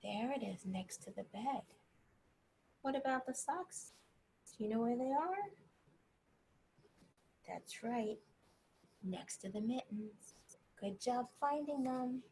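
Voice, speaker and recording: A woman reading a bedtime story to young children, gently encouraging them and pausing to ask questions. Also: on the high side, animated, vocal fry, slight background noise, low-quality recording.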